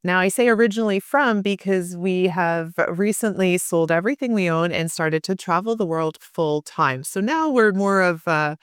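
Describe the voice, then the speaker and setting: A woman's voice: fairly low pitch, normal speed, few pauses, clean tone, no echo, clean studio sound. A woman in her forties from Canada, co-hosting a podcast and leading the conversation in a friendly, casual way. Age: 40s. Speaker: podcast co-host. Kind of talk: casual chat.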